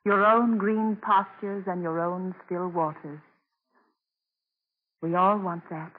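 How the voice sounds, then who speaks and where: Gentle, devout woman, vintage radio. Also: on the low side, fairly even intonation. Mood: devout consoling. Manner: tender, measured, pious reassurance. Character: gentle, devout English mistress, consoling and sure. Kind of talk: 1940s radio drama acting. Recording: muffled, narrow-band 1940s radio broadcast.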